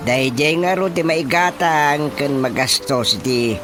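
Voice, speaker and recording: An actor playing a practical village chairman with a Filipino accent, explaining things in a measured, matter-of-fact way in a radio drama. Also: a little high. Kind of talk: Ilocano radio drama acting. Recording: radio drama with music underneath.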